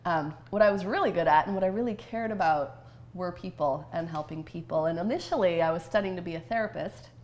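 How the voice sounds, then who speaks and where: A woman's voice: on the low side, fairly quick, a little mellow. A middle-aged woman business coach, raised in Canada after an early childhood in Romania, talking as an interview guest in an enthusiastic, thoughtful way with frequent fillers. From Romania, grew up in Canada. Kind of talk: conversational interview.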